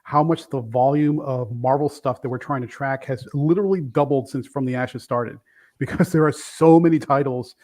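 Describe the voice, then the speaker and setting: A man in his fifties who grew up in Brooklyn talks at an ordinary middle pitch. He rambles enthusiastically, restarting and correcting himself as a guest on a casual comic book show. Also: normal speed, darkish tone. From Brooklyn, New York. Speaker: guest reviewer. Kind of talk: casual chat.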